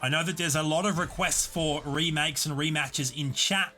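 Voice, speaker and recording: A man casting a live online game tournament, giving steady, explanatory play-by-play. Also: a little high, normal speed, faint music behind. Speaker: esports caster and streamer. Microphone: desk USB condenser mic.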